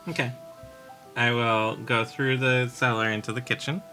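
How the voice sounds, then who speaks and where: A man's voice: medium pitch, fairly even intonation, normal speed, pushed and bright, faint music behind. A man playing a tabletop game, giving short, joking replies and stating his moves. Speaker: player. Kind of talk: casual tabletop play.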